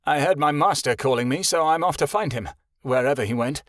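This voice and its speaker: A man voicing a cheerful, loyal young male scout who talks briskly. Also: on the high side, a bit bright, no room sound, studio quality, close to an everyday voice.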